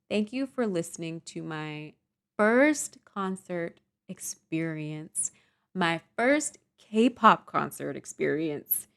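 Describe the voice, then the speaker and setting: A young woman vlogger rambling softly and self-deprecatingly through a casual storytime monologue. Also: on the low side, clean tone.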